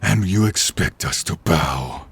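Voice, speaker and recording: A gravelly, very deep undead warlord. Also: male voice, expressive, full character voice. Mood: enraged. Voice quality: gravelly, breathy, rasping. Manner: menacing, slow, theatrical. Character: contemptuous undead male warlord with a bitter grudge against knights. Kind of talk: scripted fantasy audio roleplay.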